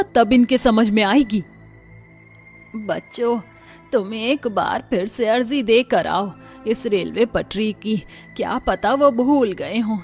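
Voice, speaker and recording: A concerned village mother voiced by a woman narrator in a cartoon tale. She is earnest and persistent, with a fairly high voice. Music plays underneath. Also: a little mellow.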